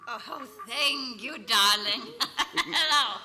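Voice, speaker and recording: An actress playing a tipsy, silly, gushing society wife in a comic radio play, giggly and drawling. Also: female voice, fairly high pitch, extremely slow. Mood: giddy, tipsy. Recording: reverberant hall, faint noise.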